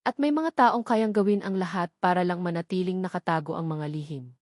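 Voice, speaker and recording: A woman's voice: fairly low pitch, a little fast, darkish tone, no room sound, studio quality, natural voice. A woman voicing an ambitious male lawyer, smug, insinuating and menacing, in an audio drama story. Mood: veiled menace.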